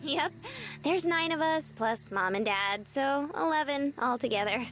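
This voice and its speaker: A woman's voice: high voice, expressive, a little slow, a bit bright, soft background music, full character voice. A woman playing a cheerful, open young woman from a big family in visual novel game dialogue. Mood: candid, chatty.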